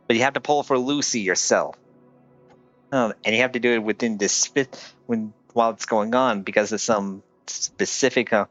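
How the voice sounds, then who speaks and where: A man live-streams a game with music playing faintly behind him. He talks casually and gives advice, often halting and feeling for his words. The sound is dry and slightly dull. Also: on the low side, fairly bright tone, dry recording. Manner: hesitant, halting delivery.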